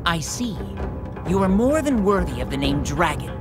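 A shrewd, indulgent ruling lord speaks in a measured, knowing way. It is video game drama, with loud music behind the voice. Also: male voice, high-pitched, very animated. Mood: approving. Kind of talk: English game dub acting.

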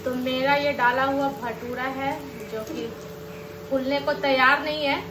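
A woman in her thirties with a high voice talks excitedly straight to her viewers, vlogging on a phone. She is in a roomy, echoing home kitchen where pots and dishes clatter behind her. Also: measured pace, noisy background. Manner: chatty, excited, addressing viewers directly. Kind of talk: casual cooking vlog chat. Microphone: handheld phone camera.

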